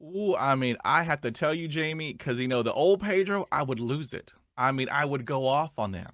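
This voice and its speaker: Chatty middle-aged host who stutters. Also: male voice, mid-range voice, animated, moderate pace, a bit bright, no echo, clean studio sound. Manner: stutters, chatty with many fillers. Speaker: podcast host. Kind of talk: casual interview talk.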